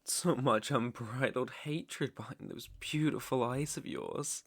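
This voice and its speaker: A man's voice: mid-range voice, no room sound. Creepy obsessed villain king, asmr roleplay, drawn out sinister lines, really dry sound. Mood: mocking. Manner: sinister, theatrical, slow drawn-out phrasing with whispers. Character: obsessive, menacing young villain king, madly lovesick.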